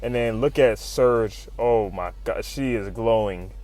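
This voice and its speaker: A man giving an excited, slangy, profane comic recap as a video host. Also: lowish voice, a little slow, soft background music. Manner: slangy, profane, informal, exclamatory.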